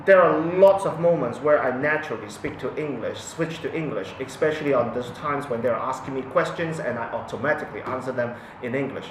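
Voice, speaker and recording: A man's voice: mid-range voice, brisk, few pauses, far from the mic, noisy background. Hesitant Hong Kong-born man, roomy echo. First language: Cantonese. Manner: hesitant, many restarts and fillers. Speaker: parent sharing his experience. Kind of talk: unscripted talk to camera. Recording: echoey room, mic set back.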